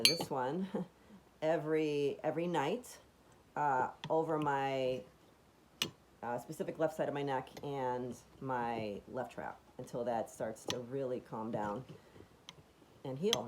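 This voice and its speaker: A woman with a raspy, deeper voice chatting casually at home as she demonstrates a device, rambling enthusiastically with many fillers and restarts. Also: background noise.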